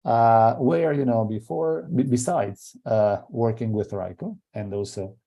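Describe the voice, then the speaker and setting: A man's voice: mid-range voice, animated, a little slow, dark tone. A middle-aged man with an Italian accent giving an academic seminar talk, hesitant, with many fillers and restarts. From Italy. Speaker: invited seminar speaker.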